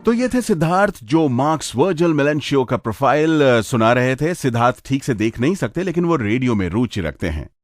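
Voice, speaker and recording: A man's voice: mid-range voice, very animated, brisk, hardly any pauses, no echo, clean studio sound, soft background music. A man hosting a radio show in a conversational, playful and slightly theatrical way.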